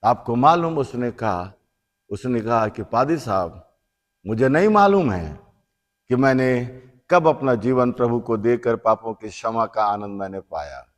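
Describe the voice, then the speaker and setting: A man preaching a sermon as a bishop, slow and emphatic, swinging from loud repeated phrases down to soft, quiet lines. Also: medium pitch, expressive. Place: church. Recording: long church reverb.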